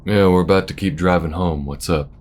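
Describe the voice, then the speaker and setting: Man acting as a very deep-voiced folksy space bounty hunter. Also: a little mellow, lightly acted. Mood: urgent, steady. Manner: folksy, unhurried, reassuring. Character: folksy, loyal male space bounty hunter, steady and protective. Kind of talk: intimate audio roleplay acting.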